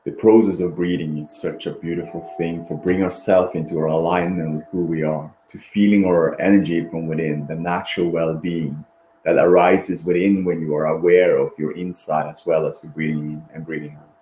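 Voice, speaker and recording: A man leading a guided meditation in a gentle, repetitive, unhurried manner, with long pauses and a background. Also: low voice, steady tone. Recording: roomy echo, faint background noise.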